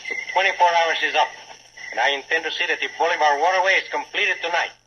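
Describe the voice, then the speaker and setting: Determined male villain, radio drama; highish voice, formal delivery, muffled sound. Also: bright, forceful tone. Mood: defiant. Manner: formal, measured, insistent. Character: formal, determined Latin American waterway man, suspicious villain. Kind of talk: 1940s radio drama acting. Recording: muffled, hissy 1940s radio recording.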